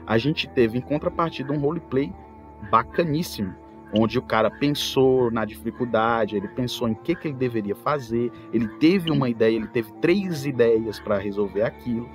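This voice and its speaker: Man, Brazilian accent, medium pitch, casual podcast host, animated storyteller, music playing behind. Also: normal speed. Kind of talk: casual anecdotal talk about tabletop role-playing. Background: background music.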